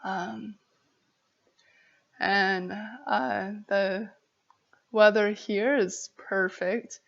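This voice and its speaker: An American woman vlogger from Arizona with a stutter, chatting casually to camera in a halting, apologetic, giggly way. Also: medium pitch, steady tone, creaky, lo-fi sound. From Arizona, United States.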